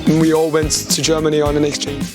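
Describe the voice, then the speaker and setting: A man's voice: fairly high pitch, completely flat delivery, quick pace. A young man from China with a Chinese accent giving a testimonial as an exchange student. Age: young adult. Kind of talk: interview testimonial. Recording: music playing behind the voice. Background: music.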